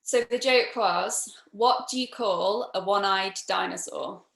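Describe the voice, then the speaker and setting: A woman's voice: mid-range voice, slow, creaky, fairly bright tone. A woman with a Northern English accent chats cheerfully on a live video call, cracking jokes and greeting viewers. Her voice sits mid-range and her audio sounds roomy, as though she is in an echoey space. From Northern England. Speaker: inventor, panel co-host. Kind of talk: casual chat on a live video stream. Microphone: computer mic on a video call.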